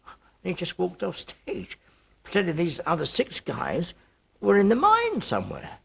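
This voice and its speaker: A man's voice: high-pitched, unhurried, vocal fry, breathy edge. A man telling anecdotes in an interview, acting out dialogue as he goes. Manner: animated storyteller, acting out dialogue. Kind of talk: anecdotal talking-head interview. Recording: faint music behind, VHS tape.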